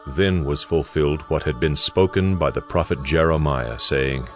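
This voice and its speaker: A man's voice: very deep voice, moderate pace. A man reading solemn scripted scripture as the narrator of a dramatized audio Bible. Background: background music.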